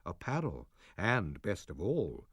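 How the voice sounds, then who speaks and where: A man reading the part of an aged sorcerer and father, with a husky, measured and solemn delivery. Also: deep voice, huge pitch swings, a bit bright, no room sound, studio quality, heavily acted. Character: aged male sorcerer, stern but loving father. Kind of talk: audiobook drama reading.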